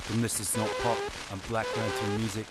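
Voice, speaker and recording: A man's voice: on the low side, little pitch movement, a little fast, poor audio. A man performing as a spoken-word poet, delivering rhythmic lines in a performance style. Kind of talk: rhythmic spoken-word poetry over music. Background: loud hip hop backing music.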